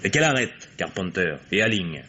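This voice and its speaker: An actor playing a confident, sly private detective in a French radio mystery, with an assured, wry manner. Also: male voice, low voice, sing-song, fairly quick, bright, forceful tone, lo-fi sound, exaggerated voice. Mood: wry, insistent. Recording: noisy old radio drama recording.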